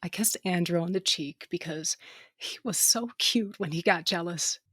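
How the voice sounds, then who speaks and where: A tough female starship captain, with a slightly low voice in a dry, close recording. She is salty and commanding, yet protective and fond of her people, as she tells her own story in a space audio drama. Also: normal speed, a bit bright, breathy edge, dry recording. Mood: reflective, intimate. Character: tough, wounded female ship captain, protective aunt, affectionate. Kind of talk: first-person audio drama narration.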